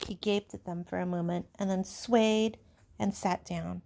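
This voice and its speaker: A woman reading a novel aloud in expressive, measured storytelling narration, like a teacher. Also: on the low side, creaky. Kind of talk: audiobook-style read-aloud narration of a novel.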